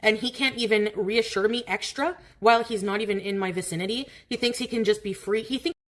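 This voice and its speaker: A woman's voice: mid-range voice, brisk. A woman venting in an aggrieved way, heard in a clip played on a stream.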